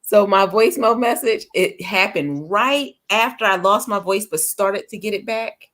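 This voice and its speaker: A woman's voice: medium pitch, moderate pace, fairly bright tone. A woman in a casual chat, telling a confiding story in a chatty way.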